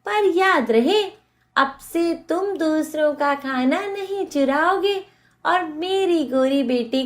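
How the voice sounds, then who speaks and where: High voice, slow pace, woman playing a cartoon crow mother-in-law, motherly, practical, firmly advising. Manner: instructive, motherly. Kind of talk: animated moral story dialogue.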